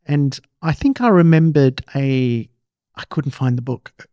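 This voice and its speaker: A man's voice: mid-range voice, animated, measured pace, dark tone, clean tone, dry recording. A man with an Australian accent hosting a casual conversational interview, curious and often restarting his thoughts mid-sentence. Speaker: podcast host.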